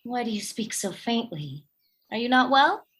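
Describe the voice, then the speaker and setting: An actress playing a tender, solicitous young noblewoman, a gentle and attentive wife in a Shakespearean radio play. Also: on the high side, expressive, moderate pace, breathy edge. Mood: tender concern.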